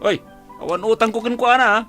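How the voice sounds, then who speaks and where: A woman's voice: fairly high pitch, fast, music in the background, close to an everyday voice. A woman playing a defiant, evasive young debtor in a radio drama.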